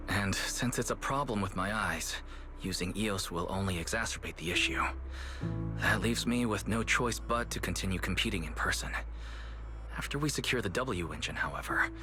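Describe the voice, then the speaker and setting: A man playing a kind, composed young manager in a game cutscene, speaking in a gentle, measured way. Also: low voice, natural voice. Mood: earnest, apologetic. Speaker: video game voice actor. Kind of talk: animated game cutscene dialogue. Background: background music.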